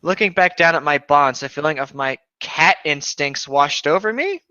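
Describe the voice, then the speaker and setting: Male co-host, forceful clear tone, casual story reading and banter, slightly dull dry recording. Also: on the high side, lively intonation, normal speed, no echo. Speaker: co-host of a comedic fan fiction reading show. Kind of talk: casual read-aloud with commentary.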